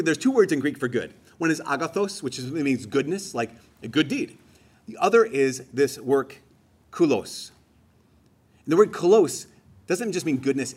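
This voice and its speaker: A man's voice: a little high, a little mellow, slightly rough. A man giving a homily in a conversational, emphatic way, rising on key phrases. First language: English. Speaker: preacher.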